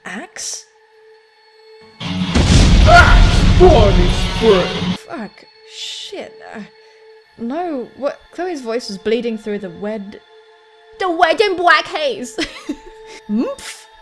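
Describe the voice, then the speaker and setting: A woman's voice: on the high side, very animated, slow, long pauses, faint music behind. A woman doing playful, jokey let's play commentary, swearing casually as she reads game text aloud. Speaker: gaming video host.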